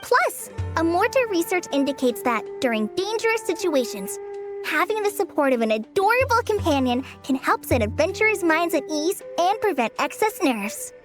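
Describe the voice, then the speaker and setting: Earnest, high-voiced teenage ranger girl. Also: very animated, normal speed, over music. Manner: polite, earnest, explanatory. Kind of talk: video game voice acting.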